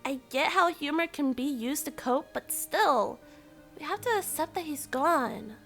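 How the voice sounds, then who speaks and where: Grieving cartoon friend, female actor: high voice, unhurried, earnest and gentle, music beneath. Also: animated, full character voice. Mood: disbelieving, chiding. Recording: music under the voice.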